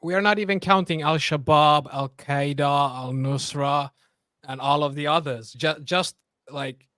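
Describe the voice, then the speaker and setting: A man's voice: fairly high pitch, steady tone, pushed and bright, dry recording. Offhand man in his thirties, from Turkey. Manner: quick, offhand, stammers on restarts. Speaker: guest journalist. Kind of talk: casual interview chat.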